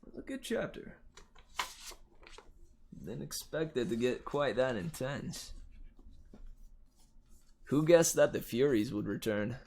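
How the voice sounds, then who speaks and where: A man's voice: medium pitch, very animated, slow, pauses often, darkish tone, slight background noise. A man hosting a read-aloud, chatting in a casual, rambling, musing way with playful colloquial wording. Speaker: host reading a book aloud to viewers. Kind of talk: casual rambling chat with viewers.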